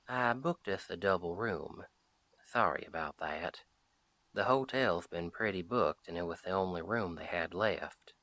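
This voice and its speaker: A man playing a friendly, protective Old West sheriff in a roleplay audio drama, talking to the listener in folksy, unhurried western phrasing. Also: sits low, a bit bright, no echo, exaggerated voice. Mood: gentle, relaxed.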